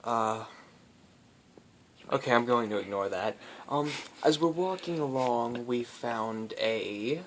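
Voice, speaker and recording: A man with an average-pitched voice tells an improvised story in a casual, halting, rambling way. The recording has faint noise and clicks. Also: lively intonation. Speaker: storyteller, host.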